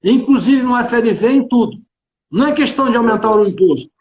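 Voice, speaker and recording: High male voice, Carioca accent, emphatic, colloquial, repetitive phrasing, live business talk, roomy muffled audio. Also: expressive, a little fast, a little mellow, slight background noise. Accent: Carioca Brazilian Portuguese. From Rio de Janeiro, Brazil. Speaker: tax consultant and executive speaking on a livestream. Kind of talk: informal live business discussion. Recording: echoey room, dull band-limited livestream audio.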